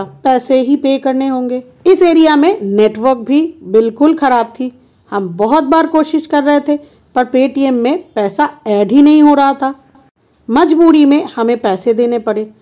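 Woman vlogger, playful chatty narration at an unhurried pace; slightly high voice. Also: a little mellow. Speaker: travel vlogger. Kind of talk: casual travel vlog narration. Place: car and roadside stops.

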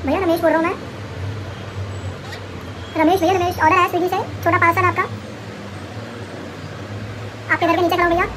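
Young guy putting on a very high-pitched, insistent delivery rider. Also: little pitch movement, normal speed, pauses often. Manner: insistent, repeating himself loudly. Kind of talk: prank phone call role-play. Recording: clear background noise, traffic hum.

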